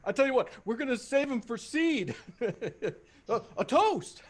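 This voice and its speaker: A man playing a giddy, bumbling, excitable uncle in a 1940s radio drama style. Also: very high-pitched, unhurried, slight background noise, heavily acted.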